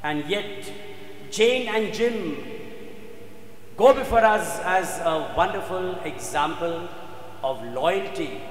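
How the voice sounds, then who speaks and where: Slow, echoing priest preaching solemnly. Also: male voice, high-pitched, lively intonation. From India. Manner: solemn, emphatic, dramatic delivery with repeated phrases and pauses. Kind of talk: sermon. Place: inside a church. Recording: echoey church hall, faint background noise.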